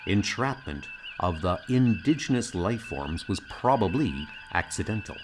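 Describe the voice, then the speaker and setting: Alien science officer man acting, calm, formal and precise, unhurried delivery over music. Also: deep voice. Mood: detached.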